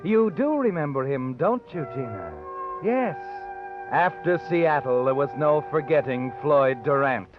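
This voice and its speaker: A man's voice: fairly high pitch, lively intonation, a little slow. An actor playing a knowing, taunting narrator in 1940s radio suspense style, needling a guilty woman. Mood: taunting, ominous. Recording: dull old radio recording, music behind.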